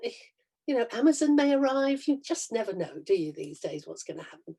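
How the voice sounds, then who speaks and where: A woman's voice: fairly high pitch, big pitch swings, fairly quick, vocal fry, warm tone, breathy edge. A woman chattily and encouragingly welcoming an online audience over a video call, as director and announcer of an amateur show.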